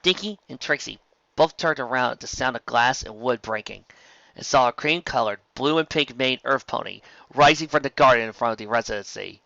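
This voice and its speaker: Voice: medium pitch, a little fast, vocal fry, bright, forceful tone, no echo, highs cut off. A narrator reading scripted fan fiction as an audiobook, in a storytelling style.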